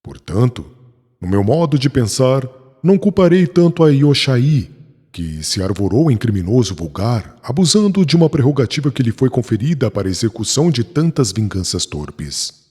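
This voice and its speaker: A man's voice: medium pitch, sing-song, normal speed, dark tone, breathy edge. A man voicing a remorseful young former persecutor in an audiobook, speaking solemnly and formally. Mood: stern. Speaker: voice actor in an audiobook.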